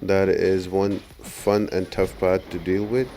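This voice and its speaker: Male gaming guide host, low and dark-toned, casual and explanatory, recorded with faint noise behind. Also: level tone, takes it slowly. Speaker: gaming video host giving a boss guide. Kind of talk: casual gameplay tutorial commentary.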